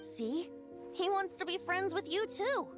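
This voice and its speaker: High, musing storyteller. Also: female voice, a little slow, music in the background. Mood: knowing, gentle. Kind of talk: video game voice acting.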